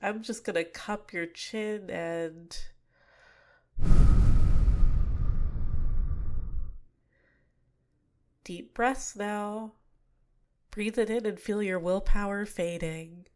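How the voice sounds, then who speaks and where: A young woman voicing a cute but manipulative female villain in a soft-spoken, teasing ASMR roleplay, recorded close to the mic. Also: medium pitch, fairly even intonation, very slow, long pauses, clean tone. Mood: teasing, taunting.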